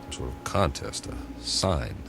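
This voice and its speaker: Deep-voiced, steady crew leader. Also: male voice, unhurried, gravelly, noisy background, heavily acted. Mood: puzzled. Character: steady, puzzled male leader of space crew. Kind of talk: audio drama acting.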